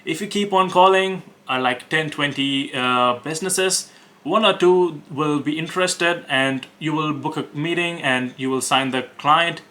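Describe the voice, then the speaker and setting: A young man with an Indian accent, talking casually to camera and pitching on sales calls, hesitant with many fillers and restarts. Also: measured pace, pushed and bright. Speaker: web design agency owner making cold calls.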